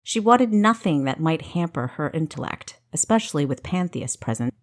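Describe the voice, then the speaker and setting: A woman's voice: low voice, animated, normal speed. A woman reading scripted fiction as an audiobook narrator.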